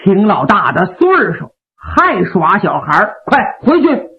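A woman's voice: mid-range voice, big pitch swings, unhurried, voice pushed down. A woman voicing a middle-aged male general, worried and earnest, scolding his rowdy sworn brothers. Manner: worried, reproachful. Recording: phone-like narrow band.

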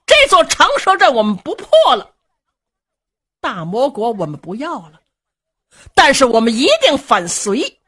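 A stern, loyal male general from a traditional tale, delivered by a woman storyteller in forceful, indignant declamation. The voice is husky and climbs high when it flares, with wide swings of pitch. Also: very animated, a little slow, pauses often, heavily acted. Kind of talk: traditional Chinese storytelling performance.

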